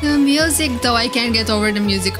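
High-voiced woman gushing playfully over music. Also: fairly quick. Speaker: streamer reacting to videos. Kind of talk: casual excited reaction commentary. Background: game trailer music.

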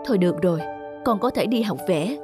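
A soft-hearted, concerned mother played by a woman in an animated tale, her voice sitting below the children's, with music underneath. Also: medium pitch, fairly quick, lightly acted. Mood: remorseful. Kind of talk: animated cartoon dubbing.

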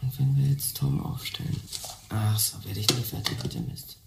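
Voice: medium pitch, moderate pace, vocal fry, reverberant, very noisy. A performer playing a restless, impatient teenage schoolboy in a radio play, brash, slangy and grumbling. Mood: distracted, grumbling. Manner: impatient, muttering to himself, slangy. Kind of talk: German radio play acting.

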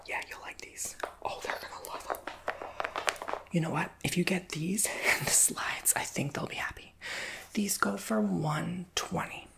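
A woman in a whispered ASMR roleplay as a shoe shop clerk, breathy and chatty, with playful, slangy asides to her customer. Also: lowish voice, measured pace, a bit bright.

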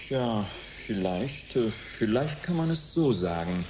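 An actor playing a scientist giving careful, halting explanations in a radio play interview. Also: male voice, on the low side, big pitch swings. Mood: careful, hesitant. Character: hesitant male scientist explaining his experiment on radio. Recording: noisy 1980s radio recording.

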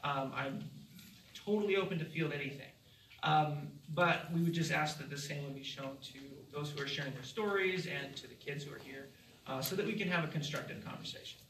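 A man addresses a crowd from a stage in a large hall, with a fairly high, bright voice. He is earnest and fills his pauses with ums. The sound is roomy and caught from far off by the camera. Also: a little hiss or hum. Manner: earnest, many filler ums, relaxed. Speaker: event organizer. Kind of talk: speech to an audience.

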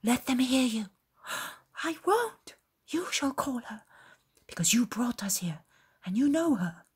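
A woman voicing nervous, reluctant shepherds in a story, hesitant and urging in hushed tones. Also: fairly high pitch, big pitch swings, takes it slowly, slightly rough, voice pushed up, full character voice.

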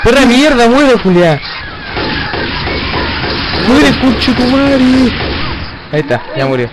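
Chileno de voz aguda jugando con amigos. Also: male voice, very high-pitched, sing-song, slow pace, noisy background. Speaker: gamer playing co-op with friends. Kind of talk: casual gaming chat and callouts. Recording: loud game music over voice. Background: loud game music and sounds.

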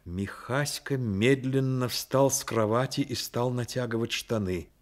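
An actor playing the storyteller of a radio play, narrating in a literary style. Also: male voice, medium pitch, unhurried, close to an everyday voice. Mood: measured. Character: storyteller narrating a boy's life.

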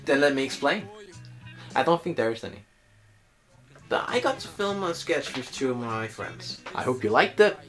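Young male vlogger, mid-pitched, informal and a little halting, music underneath. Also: big pitch swings, a bit bright. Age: young adult. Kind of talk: casual chat to camera. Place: small indoor room.

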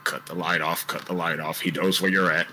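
A man's voice: low voice, flat delivery, fairly quick, very noisy, natural voice. An actor, a man, playing a protective robot bear companion, coaching urgently and repetitively in an improvised comedy fandub.

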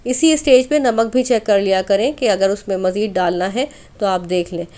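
A woman's voice: medium pitch, moderate pace, darkish tone. A woman hosting a cooking channel, narrating a recipe step by step in an instructional voice-over addressed straight to viewers.

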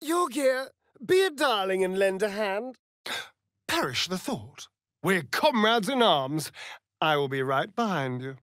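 British male vampire rogue, sly, sardonic, camp, highly animated intonation, unhurried drawl, theatrical fantasy game delivery. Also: no echo, studio quality, heavily acted. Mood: amused, mocking. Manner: arch, camp, drawling and teasing. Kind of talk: theatrical video game character acting.

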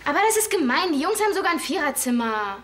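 High-voiced, insistent schoolgirl. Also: sing-song, fast talker, fairly bright tone, a little hiss or hum. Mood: pleading. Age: teenager. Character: emotional, insistent teenage schoolgirl. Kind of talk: TV series acting. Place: indoors.

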